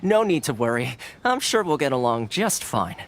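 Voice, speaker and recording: A man's voice: medium pitch, very animated, moderate pace, a little hiss or hum. An actor playing a friendly, easygoing young male fixer in a video game dub, with a playful, teasing manner. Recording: game dub with music behind.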